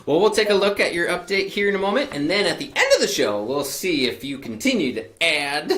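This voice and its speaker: A woman's voice: on the low side, big pitch swings, brisk, fairly bright tone. A young woman hosting a children's show, bubbly and chatty, laughing often and rambling with fillers. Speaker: host of a children's library show.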